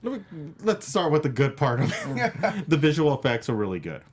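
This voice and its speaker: A man giving casual film opinions as a panel critic, speaking in a halting, plain-spoken way. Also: fairly high pitch, very animated, breathy edge. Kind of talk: casual film review chat.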